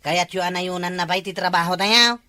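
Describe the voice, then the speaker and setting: Bright, energetic Ilocano woman. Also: on the low side, moderate pace. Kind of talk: Ilocano comedy skit acting.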